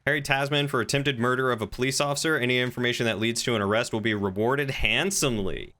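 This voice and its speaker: Bright-voiced man streaming casually. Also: medium pitch, fast. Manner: rambling, thinking aloud, reading chat aloud. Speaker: livestreamer. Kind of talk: casual chat while streaming game roleplay.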